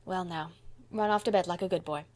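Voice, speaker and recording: Woman playing a gentle, soft-spoken young woman. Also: lowish voice, brisk, natural voice. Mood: gentle, grave. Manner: soft-spoken, grave, kindly. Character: gentle, patient young woman comforting a child. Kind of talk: audiobook character voice.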